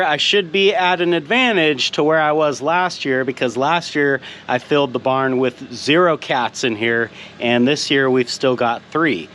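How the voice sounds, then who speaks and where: A man's voice: mid-range voice, a bit bright, a little hiss or hum. Easygoing farmer talking through his chores. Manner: easygoing, thinks aloud and corrects himself. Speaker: farmer and video host. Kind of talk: casual farm vlog narration. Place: outdoors and in a hay barn on a farm.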